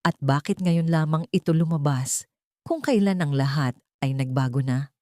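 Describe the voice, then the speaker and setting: Low-pitched, mellow Filipino woman narrating. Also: normal speed, dry recording, studio quality. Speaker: audiobook narrator. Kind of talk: scripted dramatic story narration.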